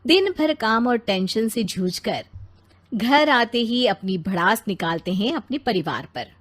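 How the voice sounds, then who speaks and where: A woman's voice: on the high side, animated, a little slow. A woman reading scripted narration for a bedtime story.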